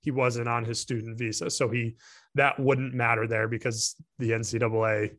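A man's voice: mid-range voice, flat delivery, moderate pace, fairly bright tone. A man co-hosting a sports podcast in easy, unscripted chat. He thinks aloud, often restarting sentences and leaning on fillers as he works through a point. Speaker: podcast co-host.

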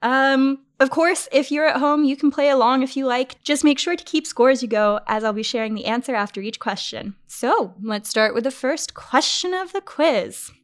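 A woman's voice: high voice, normal speed, few pauses, slight background noise. A woman hosting a work podcast, running a playful quiz for her colleagues in an upbeat manner. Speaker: podcast host.

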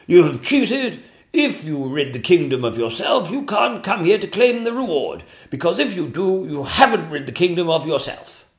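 A man voicing an excitable, fussy old king who talks in a raised voice. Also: fairly high pitch, normal speed, few pauses, pitched above the performer's own voice. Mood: excited. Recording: roomy echo.

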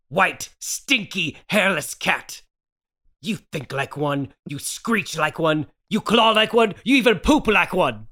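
A man's voice: on the high side, vocal fry, pushed and bright, full character voice. A man playing a wronged, desperate husband in a theatrical 1930s radio melodrama reading, overwrought and stammering. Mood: hysterical rage. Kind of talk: 1930s radio horror melodrama table read.

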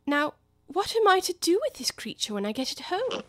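A woman's voice: very high voice, very animated, moderate pace, very noisy, pitched above the performer's own voice. A woman voicing an earnest, proper young girl in a storybook, with questioning, lilting speech. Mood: stern, serious. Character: polite, earnest, curious little girl.

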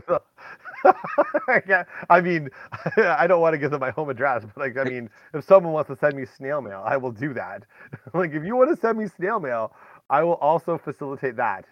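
A man's voice: on the high side, animated, moderate pace, no echo, highs cut off. A man hosting a podcast in casual, rambling chat, talking directly to listeners with folksy exclamations. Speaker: podcast host.

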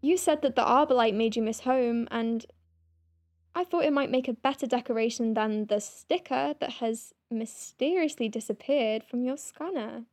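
A woman's voice: on the high side, normal speed, a little mellow, no echo, studio quality, natural voice. A woman playing a proud senior space-clinic doctor in an audio drama, formal, clipped and literal-minded, stiff but kind. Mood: awkwardly kind.

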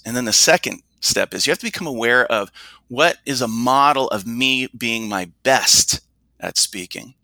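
Man explaining in a bright dry voice. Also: medium pitch, no echo, low-quality recording. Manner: explanatory, slows down to stress points. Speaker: speaking coach. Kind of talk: conversational interview answers.

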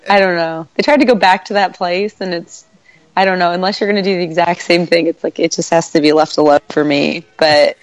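A congested woman with a lower-pitched voice, talking casually as a podcast co-host. Her speech is chatty, with frequent laughs and fillers. The recording is dry and close. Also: no room sound, poor audio. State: congested from a cold. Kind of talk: casual chat.

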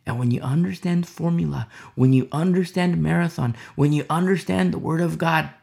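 A man preaching through a Bible passage with a gravelly, fry-edged voice. He talks in a rhetorical way, keeps asking his listeners "you see?", and sometimes sinks into quiet, hushed asides. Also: fairly high pitch, moderate pace. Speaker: Bible teacher. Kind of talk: verse-by-verse sermon teaching.

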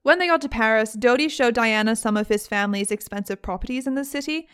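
A young woman with a British accent hosts a casual podcast, telling the story to her co-hosts. Her voice sits a little high, and she talks in an easy, chatty way with frequent asides. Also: fast, a bit bright, clean tone. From Britain. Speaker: podcast host.

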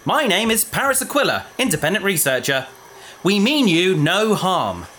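An actor playing a prim, fussy researcher who talks formally. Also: male voice, sits high, sing-song, normal speed, sharp tone, slight background noise, exaggerated voice. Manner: formal, long-suffering. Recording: studio drama with faint music.

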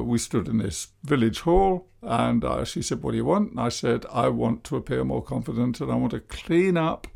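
A man, speaking as a hypnotherapist and public speaker in a measured, self-deprecating monologue about his flat voice. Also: medium pitch, vocal fry. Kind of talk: reflective monologue.

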